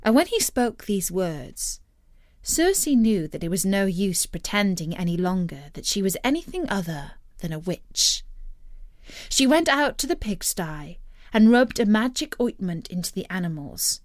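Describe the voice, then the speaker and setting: A woman telling a story as scripted narration, in an expressive and deliberate manner. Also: medium pitch, no room sound, clean studio sound.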